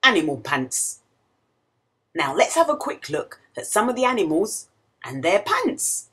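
A woman reading a picture book aloud to young children in an expressive, questioning storytelling manner. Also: medium pitch, measured pace, slightly rough. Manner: expressive, exclamatory, asks the listener questions.

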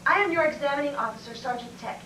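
A woman's voice: high voice, quick pace, bright, forceful tone. A woman playing a stern, officious army examining sergeant in an amateur stage comedy, giving curt orders and questions. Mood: stern, commanding. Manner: curt, commanding, bureaucratic. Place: classroom used as a small stage. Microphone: far camera microphone. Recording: distant, echoey, noisy VHS room recording.

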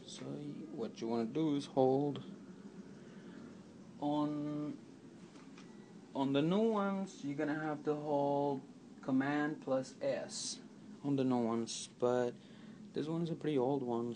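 A man's voice: medium pitch, darkish tone, slight background noise. A man talking through a casual computer how-to while filming a laptop screen, spelling out commands letter by letter. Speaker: tutorial maker. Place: indoors at a desk with a laptop. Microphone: handheld camera filming the screen.

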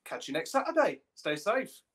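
Male podcast co-host, young, bright forceful tone, pitched a little high, casual chat. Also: sing-song, normal speed. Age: young adult. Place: indoor room on a video call.

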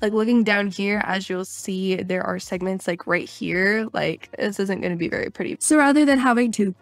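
A young woman in her twenties, congested, chatting in an upbeat and self-deprecating way as she walks through a software tutorial. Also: medium pitch, normal speed, no room sound. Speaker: YouTuber teaching video editing. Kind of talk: screen-recorded software tutorial. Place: small bare room with hard floors and walls.

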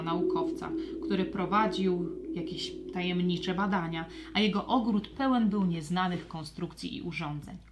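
A woman narrating a scripted children's audio story with expressive, storybook delivery. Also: on the low side, slight background noise.

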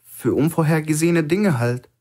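A man's voice: a little high, moderate pace, a little mellow, pitched above the performer's own voice, close to an everyday voice. A man voicing a shrewd, defiant male hostage in a thriller audiobook, insistently reasoning to provoke his captor. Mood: pressing, provoking. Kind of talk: audiobook character acting.

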